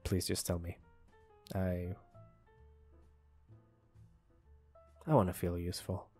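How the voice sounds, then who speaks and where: A young man playing a shy, apologetic employee with a crush, speaking softly and hesitantly in an intimate ASMR roleplay. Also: sits low, level tone, husky. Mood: shy, earnest. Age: young adult. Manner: hesitant, soft-spoken, halting. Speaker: voice actor. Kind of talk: ASMR roleplay, intimate one-sided conversation. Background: soft guitar music.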